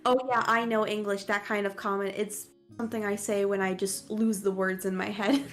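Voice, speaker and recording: A woman from the United States, a guest in a casual streamed chat, who talks in an animated, expressive and self-mocking way. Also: medium pitch, normal speed, soft background music.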